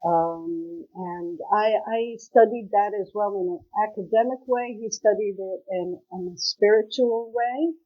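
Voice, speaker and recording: A woman from Israel being interviewed, speaking hesitantly with many pauses. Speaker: guest, mother of a hostage. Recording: muffled low-quality call audio.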